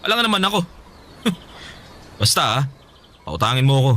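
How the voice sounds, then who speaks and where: A man playing a selfish, defensive older brother, a young adult who is sharp and dismissive in a radio drama. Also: mid-range voice, big pitch swings, normal speed. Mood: defensive, scolding.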